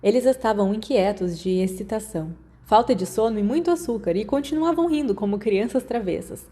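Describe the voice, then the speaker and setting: A Brazilian woman narrates a story in a soft, mellow tone. Her voice sits at a middle pitch, and she reads scripted prose as an audiobook narrator. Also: animated, a little fast.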